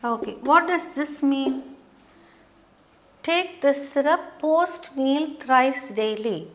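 A middle-aged woman teaching a beginners' lesson at a whiteboard, explaining terms slowly and checking in with frequent "okay". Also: high voice. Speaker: English teacher. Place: studio classroom with whiteboard.

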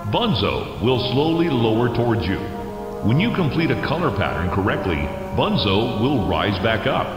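A man's voice: medium pitch, sing-song, close to an everyday voice. Formal echoing test announcer man acting. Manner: formal, measured, precise. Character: formal male factory test announcer, coolly cheerful. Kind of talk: scripted instructional announcement in video game. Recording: echoey hall reverb, music behind.

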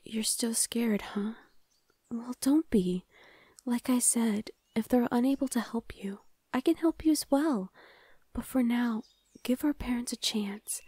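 A young woman voicing a gentle older sister in an ASMR roleplay, speaking softly and half-whispered to comfort a younger sibling. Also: a little high, measured pace, breathy edge, dry recording. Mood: reassuring. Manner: soft, half-whispered, reassuring. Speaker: voice actor.

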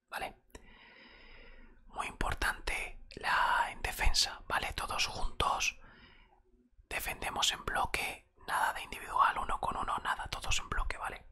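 A man with an accent from Spain speaks entirely in a close-miked whisper, airy and very bright. He plays a football coach in an ASMR roleplay, talking softly and without hurry, in a conversational way. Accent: Spanish from Spain. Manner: soft, unhurried, conversational. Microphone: close desktop microphone.

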